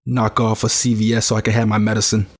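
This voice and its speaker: A man's voice: fairly low pitch, level tone, fast talker, lightly acted. A man playing a weary, disillusioned young survivor in an audio drama, reflective and plain-spoken. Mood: distracted, dry. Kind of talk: first-person audio drama narration and dialogue. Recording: loud music behind the voice. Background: music.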